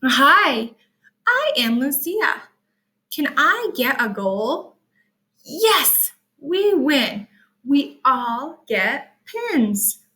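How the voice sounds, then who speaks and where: Woman reading as bright, cheerful little storybook girl. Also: sits high, extremely slow, full character voice. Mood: excited. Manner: simple, carefully spaced, expressive early-reader phrasing. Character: cheerful, curious little girl narrating her picture book. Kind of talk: storybook read-aloud.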